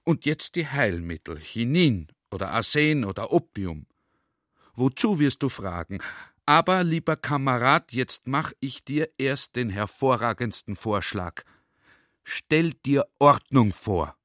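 A man's voice: medium pitch, big pitch swings, unhurried, fairly bright tone, dry recording, clean studio sound. An actor playing a talkative, earnest army general in a radio play, speaking conversationally as if to a comrade and checking that he follows. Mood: insistent, lecturing.

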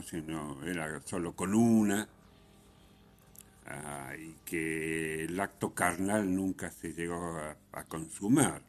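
A man with an Argentine accent giving spoken radio commentary as a host, in a halting way, repeating phrases and checking in with short questioning tags. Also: low-pitched.

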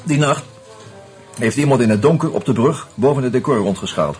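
An actor playing a sociable, teasing screenwriter in a Dutch radio drama, speaking in a conversational, hesitant, confiding way. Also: male voice, mid-range voice, expressive, a little fast, darkish tone, soft background music, close to an everyday voice. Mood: earnest, confiding. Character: sociable, teasing screenwriter sharing his suspicions over drinks.

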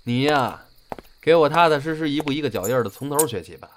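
Teasing, lively young man, acted. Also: medium pitch, fast, a little hiss or hum, lightly acted. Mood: firm. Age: young adult. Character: teasing, bossy young senior male apprentice. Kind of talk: audio drama acting. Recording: background music under the voice.

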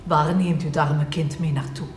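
Actress as a stern nun, echoey and distant. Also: female voice, low voice, steady tone, normal speed, close to an everyday voice. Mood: stern, disapproving. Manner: formal, disapproving. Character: stern, pious female head of a convent. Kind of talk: period audio drama acting. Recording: echoey, distant, noisy background.

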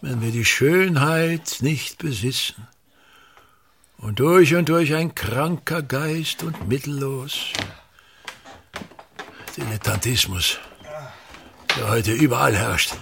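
An actor playing a pompous, bitter, tyrannical ageing actor-manager in a radio play, declaiming and haranguing with a husky voice. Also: male voice, medium pitch, takes it slowly, pauses often, a bit bright, a little hiss or hum. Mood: scornful. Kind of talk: theatrical radio play monologue.

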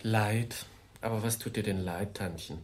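Man as measured, solicitous young nephew. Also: fairly low pitch, background noise, natural voice. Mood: gently surprised. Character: young nephew, outwardly solicitous, coolly calculating. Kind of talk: German radio drama acting.

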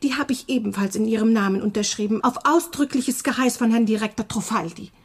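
An actress playing a chatty, teasing female publishing colleague in a German radio drama, her voice breathy and husky. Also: a little high, a little fast.

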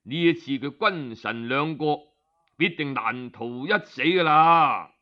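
A man with a Cantonese accent voicing a shrewd old minister in a story, knowing, deliberate and rhetorical. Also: fairly high pitch, dull audio, natural voice. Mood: grave, certain.

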